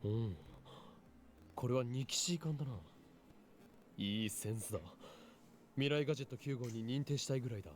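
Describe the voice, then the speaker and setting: A man's voice: medium pitch, rapid-fire, pauses often, lightly acted. A man playing a self-important young mad scientist inventor in a visual novel game. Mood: intrigued. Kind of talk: Japanese visual novel voice acting.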